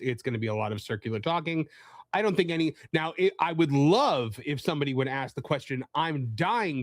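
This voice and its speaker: A man speaking casually as a panelist and reporter, in a halting way that circles back and repeats phrases. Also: mid-range voice, dry recording.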